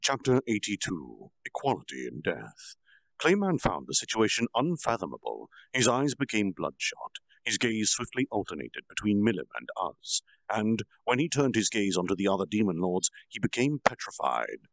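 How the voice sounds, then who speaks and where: A man reads a novel aloud for his stream in an earnest voice with quick apologetic asides. His tone has a gravelly grain and moves lively up and down. The sound is close and dry. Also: lowish voice, no echo, poor audio. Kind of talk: casual storytime reading.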